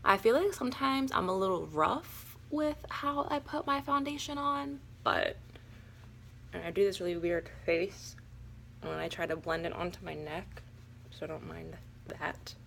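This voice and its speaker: A young woman with a raspy, hoarse voice from a cold, chatting casually and a little nervously through a makeup tutorial. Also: lowish voice, measured pace, long pauses, a bit bright, slight background noise. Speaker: beauty vlogger.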